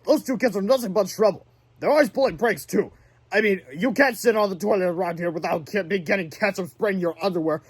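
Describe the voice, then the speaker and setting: A man's voice: sits high, fairly quick, breathy edge. A man voicing a nervous, complaining detention center director in a hesitant, fussy manner.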